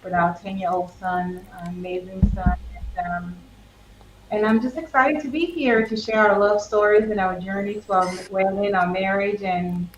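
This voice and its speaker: A woman's voice: medium pitch, steady tone, normal speed, highs cut off. A cheerful, friendly woman in her forties from Ghana, a guest introducing herself on a talk show. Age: 40s. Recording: echoey room, faint room noise.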